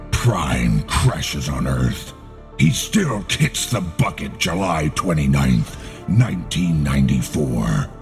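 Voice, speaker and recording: A man playing a corrupted robot warlord in an animated drama, gravelly, taunting and theatrically bitter. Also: a bass voice, full character voice. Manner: slow, theatrical, sneering. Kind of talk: dramatic animated-film acting.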